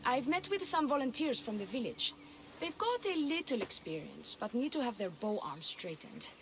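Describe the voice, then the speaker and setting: A woman's voice: on the high side, sing-song, moderate pace, low-quality recording. An actress playing a weary, practical village woman who leads, earnest and guarded, in a video game. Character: weary, pragmatic unwed village woman leader. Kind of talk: video game cutscene acting.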